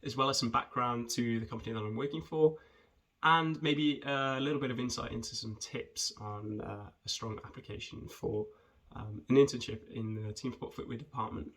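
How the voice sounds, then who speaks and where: A man, talking in a friendly, conversational way with hesitant pauses as he introduces himself and his work for students. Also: medium pitch, fairly even intonation, fairly bright tone. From rural southwest Wales. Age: 20s. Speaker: junior footwear developer. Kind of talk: informal self-introduction video for students.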